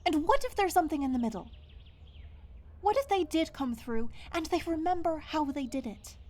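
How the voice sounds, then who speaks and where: A woman acts a lone forest dweller in a fantasy audio drama. Her voice sits a little high, and her delivery is natural and earnest. She sounds guarded and stubborn, picking her words with some hesitation. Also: lively intonation, moderate pace, a little mellow. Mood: pleading. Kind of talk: fantasy audio roleplay acting.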